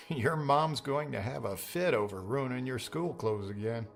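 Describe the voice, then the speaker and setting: A man's voice: medium pitch, unhurried, gravelly. A man playing a tender, sentimental middle-aged father in an audiobook, with music underneath. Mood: fond. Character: tender, wistful father of a graduating daughter. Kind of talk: audiobook character dialogue.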